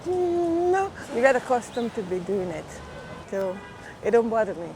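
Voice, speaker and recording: A woman's voice: a little high, big pitch swings, normal speed. An older woman with a Guyanese accent, speaking matter-of-factly in a casual outdoor chat. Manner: matter-of-fact. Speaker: interviewee, morgue attendant. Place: outdoors on a street. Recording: noisy outdoor street with traffic.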